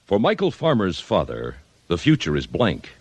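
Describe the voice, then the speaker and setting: A man's voice: low-pitched, wildly swooping pitch, normal speed. An American man reading scripted announcements in 1950s radio style. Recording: old 1950s radio broadcast recording.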